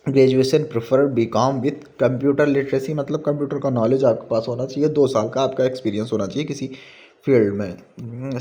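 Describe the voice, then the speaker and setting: A man with an Indian accent gives an informal explainer, reading out a notice point by point and explaining it, sometimes halting over the English phrases. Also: medium pitch, dark tone. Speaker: presenter of a job recruitment explainer.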